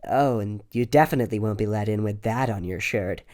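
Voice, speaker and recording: A man's voice: on the low side, a little fast, darkish tone, natural voice. A man voicing a coquettish young serving woman with a cool, coy manner.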